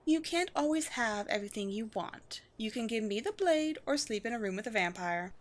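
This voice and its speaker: A woman voicing a proud, wry vampire queen with a formal, measured, teasing manner in a scripted audio roleplay. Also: a little high, lively intonation, close to an everyday voice. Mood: wry, commanding. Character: poised vampire queen, proud, wry, secretly gentle colony leader.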